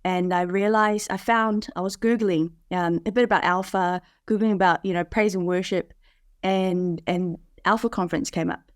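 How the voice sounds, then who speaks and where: Woman from new zealand, lowish voice, dry close sound, animated storyteller, video call guest. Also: normal speed, no echo. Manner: animated storytelling with many fillers and restarts. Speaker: podcast guest, parish leader. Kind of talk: casual conversation over video call.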